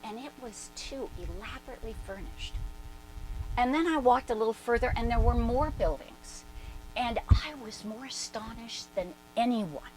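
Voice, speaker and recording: A woman's voice: a little high, lively intonation, moderate pace, lightly acted. Actress as brash storytelling woman, noisy live recording. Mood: excited. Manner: emphatic, conversational, self-questioning asides. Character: brash, impulsive, independent-minded woman recalling her past. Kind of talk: dramatic reading, animated first-person storytelling.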